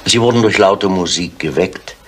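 A deep-voiced police inspector, played by a male actor in a 1970s radio crime drama. He puts his questions politely and with measured calm. He is persistent and never lets go of a point. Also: lightly acted. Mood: insistent.

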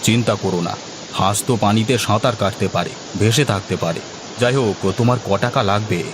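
A man's voice: fairly low pitch, fairly quick, close to an everyday voice. A man playing a hospitable, helpful village friend in a cartoon dub. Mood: welcoming, reassuring. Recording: clear background noise.

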